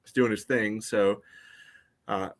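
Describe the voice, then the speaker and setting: A man with a somewhat low voice chats as a sports podcast host. He sounds conversational and measured, qualifying his points as he casually breaks things down. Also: fairly even intonation.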